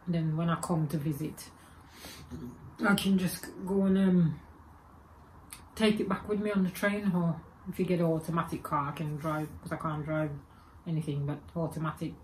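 Low-voiced woman with a Birmingham accent. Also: steady tone, normal speed, a little mellow, a little hiss or hum, poor audio. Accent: Birmingham English with Jamaican and Egyptian traces. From England. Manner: rambling, self-correcting, chatty. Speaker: vlogger. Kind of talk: casual chat to camera. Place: home room with spooky shelves, indoors. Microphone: webcam or phone camera at desk.

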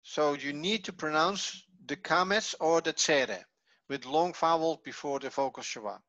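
Man, online teacher, slow pace, bright tone, halting explanatory delivery. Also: a little high, no room sound, muffled recording. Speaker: Hebrew teacher. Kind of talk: online lesson, reading and explaining a textbook. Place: online video call.

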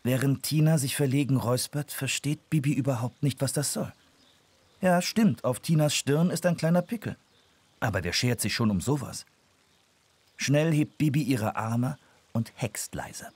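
A man's voice: mid-range voice, animated, normal speed, darkish tone, breathy edge, dry recording. A man narrating a scripted children's audio story.

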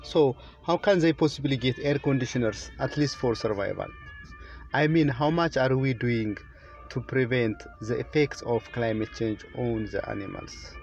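A middle-aged man from Ethiopia with an Ethiopian accent gives a formal, deliberate prepared speech to camera inside a car. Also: medium pitch, darkish tone, lo-fi sound, faint music behind. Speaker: presenter, children's author.

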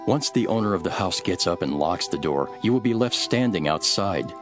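Solemn male teacher-prophet, low voice, very varied intonation, earnest preaching, scripture drama. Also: expressive. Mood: stern teaching. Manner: measured, emphatic, preaching. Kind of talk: dramatized scripture reading over music. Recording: music behind the voice.

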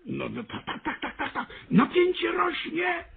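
An actor in a radio play voicing a nervous, earnest stationmaster with lofty ambitions, stammering and husky. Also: male voice, very high voice, wildly swooping pitch, a little slow, exaggerated voice. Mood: earnest, flustered. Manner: stammering, hesitant, eager, solemn. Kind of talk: Polish radio drama acting. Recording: muffled, band-limited radio recording.

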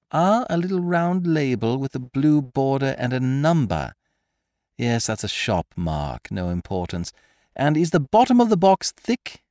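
A man voicing a cocky adult gentleman thief in an audiobook, with brisk, mocking, triumphant speech. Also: medium pitch, no room sound, studio quality, voice pushed up. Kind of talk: audiobook character voice.

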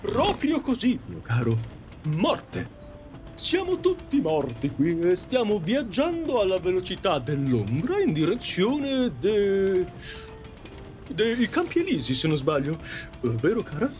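A man's voice: high-pitched, huge pitch swings, slow pace, darkish tone, faint music behind, heavily acted. A man voicing a doting, pompous male fairy in an audio drama, with theatrical, effusive delivery. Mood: courteous, jovial. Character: flamboyant, doting, grandiloquent male fairy in the afterlife.

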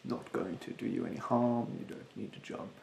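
Man playing a snooty male beautician, soft ASMR roleplay, very gravelly low voice, halting and condescending, roomy sound. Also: a little hiss or hum. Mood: haughty, impatient. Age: young adult. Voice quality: soft, breathy, partly whispered. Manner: haughty, halting, drawn-out delivery. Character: rude, snobbish, condescending male facial therapist. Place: bedroom, indoors.

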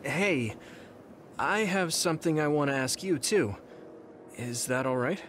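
An actor playing an earnest, polite, slightly awkward young soldier in an anime-style video game, speaking hesitantly. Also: male voice, a little high, expressive, unhurried, fairly bright tone, a little hiss or hum.

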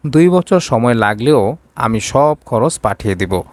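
A man's voice: medium pitch, lively intonation, unhurried, no room sound, natural voice. A man voicing a curt, commanding young rich businessman in an audio romance story. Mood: businesslike.

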